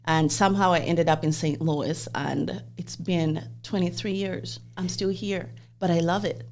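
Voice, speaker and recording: A woman in her forties, originally from Zambia, hosts a podcast and talks through her own life story. Her voice sits a little low and her delivery is open and reflective. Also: fairly even intonation, a little slow, darkish tone. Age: 40s. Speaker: podcast host. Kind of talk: personal interview conversation.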